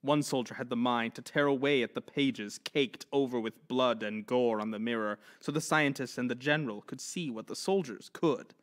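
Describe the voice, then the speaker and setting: A man's voice: mid-range voice, moderate pace, few pauses. A man narrating a horror story in an intense, theatrical manner.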